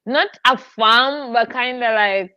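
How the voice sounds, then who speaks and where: A woman hosting a casual podcast interview in an animated, informal, playful way. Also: on the high side, takes it slowly, no room sound, highs cut off. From Tanzania. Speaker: podcast host.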